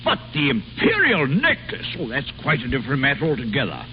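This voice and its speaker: An actor playing a pompous, indignant Grand Duke in exile, a blustering uncle in an old radio drama. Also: male voice, mid-range voice, huge pitch swings, brisk, faint music behind. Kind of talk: 1930s radio drama acting. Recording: dull old radio broadcast.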